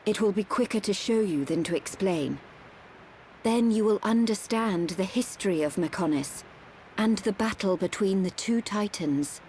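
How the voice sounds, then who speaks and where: A woman playing a solemn, composed guide of an ancient race in a video game, speaking in a measured, formal way. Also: medium pitch, a little mellow, slight background noise, natural voice. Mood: calm, instructive. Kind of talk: scripted video game cutscene acting. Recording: music behind the voice.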